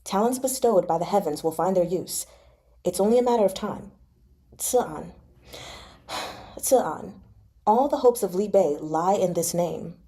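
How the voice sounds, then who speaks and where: Lady reading young official guy in a novel, reserved, dutiful, soft mellow voice. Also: medium pitch, animated, measured pace, long pauses, lightly acted. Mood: solemn, fond. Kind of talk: expressive audiobook-style novel reading.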